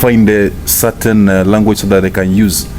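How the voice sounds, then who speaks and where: An East African man with a fairly low voice hosts an informal interview. His delivery is halting and conversational, and a faint hum sits behind him. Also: little pitch movement, a little mellow. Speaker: show host and interviewer.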